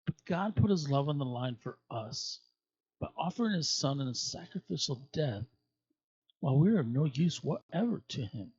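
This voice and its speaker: Husky man reading scripture aloud. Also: fairly high pitch, animated, moderate pace, darkish tone, highs cut off. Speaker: scripture reader at a church.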